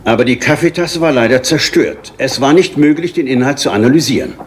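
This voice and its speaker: A man's voice: medium pitch, animated, a little fast, slight background noise, close to an everyday voice. An actor playing a formal, matter-of-fact professional man in a radio murder mystery, speaking in a businesslike way. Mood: clinical, factual. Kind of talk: German detective radio drama acting.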